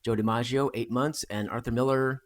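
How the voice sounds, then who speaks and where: A man's voice: medium pitch, fairly even intonation, brisk. An American man co-hosting a podcast, chatting casually about history and rambling with fillers and restarts. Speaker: podcast co-host.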